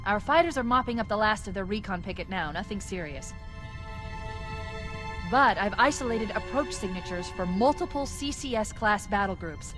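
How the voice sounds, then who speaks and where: An actress playing a quick-witted female military AI in a video game, crisp, dry and sardonic. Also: mid-range voice, close to an everyday voice.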